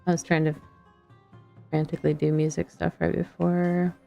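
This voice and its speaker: A woman with a mellow, slightly low voice chats casually as she plays a game. She talks to herself in unhurried, broken-up fragments, and music plays behind her. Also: little pitch movement, creaky, muffled recording. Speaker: streamer playing an online fantasy game. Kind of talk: casual gameplay chat, thinking aloud.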